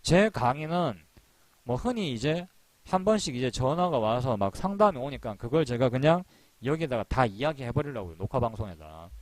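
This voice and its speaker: A man's voice: medium pitch, lively intonation, normal speed, no echo. A man giving a casual recorded stock trading lecture, chatty and repetitive, with rhetorical questions and small laughs. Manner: chatty, repeats himself, laughs at own jokes; rhetorical questions, frequent fillers. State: sore throat from long phone calls.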